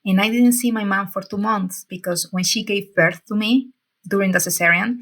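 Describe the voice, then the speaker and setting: A woman in her forties from Peru speaks as a guest with a bright, forward voice. She talks haltingly, stopping and restarting as she searches for words. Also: mid-range voice. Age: 40s. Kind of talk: casual interview.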